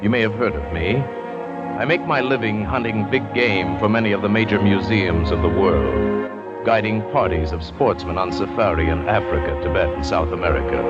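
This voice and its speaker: A dull old radio recording with loud music carries an actor playing a self-assured male big game hunter. His voice is low and he speaks with dismissive confidence, in the manner of a 1940s radio adventure. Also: natural voice. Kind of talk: 1940s radio drama acting, first-person narration.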